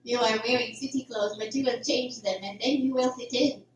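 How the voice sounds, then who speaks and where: A woman's voice: on the high side, steady tone, measured pace, a little mellow, dull audio, close to an everyday voice. A woman playing a domineering, eerie kitchen boss in an amateur radio drama, giving stilted, formal commands. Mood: imperious, gloating. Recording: very echoey room, distant, faint hiss.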